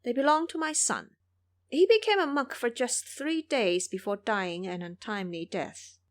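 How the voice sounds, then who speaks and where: A woman's voice: a little high, normal speed, no room sound, close to an everyday voice. A woman voicing a kindly, mysterious old woman in an audio drama, solemn and instructive. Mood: sorrowful, kind.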